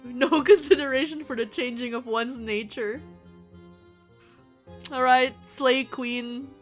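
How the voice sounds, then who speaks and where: A woman's voice: sits high, steady tone, slow, soft background music. A woman livestreaming a visual novel, reading game text aloud and reacting with giggly, irreverent, slangy asides. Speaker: streamer playing a visual novel.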